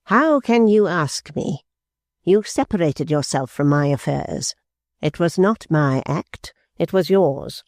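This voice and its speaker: A man reading aloud and voicing a stern, cold older mother who speaks slowly and severely. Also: on the high side, animated, no echo, studio quality. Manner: stern, clipped, deliberate.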